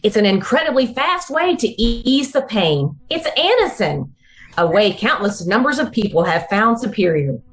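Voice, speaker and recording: A woman announcer reading a scripted advertisement in 1950s radio style, with emphatic, clearly phrased salesmanship. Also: a little high, big pitch swings, normal speed. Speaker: commercial announcer.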